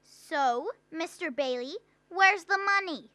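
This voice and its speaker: A woman's voice: very high-pitched, animated, slow pace, sharp tone, dry recording, full character voice. A young woman acting a polite, prompting bank teller in a radio drama. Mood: polite, patient. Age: young adult.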